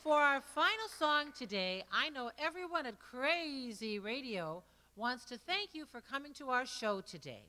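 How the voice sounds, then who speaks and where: A woman's voice: high voice, expressive, measured pace, few pauses, sharp tone, long reverb tail. Woman hosting a children's holiday show.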